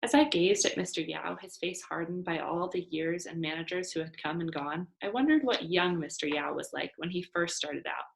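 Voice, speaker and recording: A woman reading a children's novel aloud as an expressive storyteller. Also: fairly low pitch, moderate pace, gravelly, few pauses, poor audio. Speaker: storybook reader.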